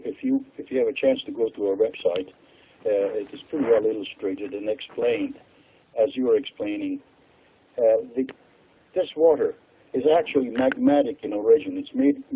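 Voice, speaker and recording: Older Hungarian-accented man, gravelly and husky, lively swooping delivery over a tinny phone line. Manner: animated, chuckles often, pitch leaps for emphasis. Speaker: interview guest, water expert. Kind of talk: explanatory interview talk.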